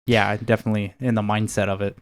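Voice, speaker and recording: Clear-voiced American man, casual and halting. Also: fairly low pitch, flat delivery. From United States, near Seattle. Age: 30s. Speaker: podcast co-host. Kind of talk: casual chat.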